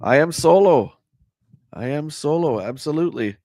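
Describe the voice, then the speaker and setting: A man with a hoarse voice hosting a casual livestream, chatting and answering viewer comments. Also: fairly high pitch, lively intonation, moderate pace, dark tone, no echo, clean studio sound. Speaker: livestream host. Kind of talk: casual live chat reading a magazine.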